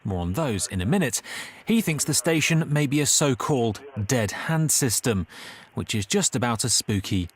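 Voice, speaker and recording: Man narrating with lively, swooping pitch. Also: normal speed. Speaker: documentary narrator. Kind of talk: scripted investigative narration.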